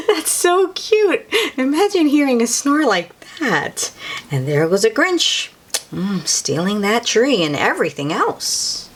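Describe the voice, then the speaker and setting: Woman, children's story host. Very lively intonation, unhurried pace, exclaims between lines of the book. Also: mid-range voice, slightly rough. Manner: animated, exclaiming, drawn-out words for effect. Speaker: children's read-aloud host. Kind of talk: expressive picture-book read-aloud with asides to young listeners.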